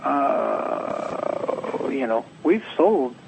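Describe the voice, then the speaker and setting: A young adult man, a comics writer being interviewed, slightly hoarse, talking in a rambling, animated way and acting out quotes. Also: mid-range voice, drawn-out words, noisy background. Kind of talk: casual interview.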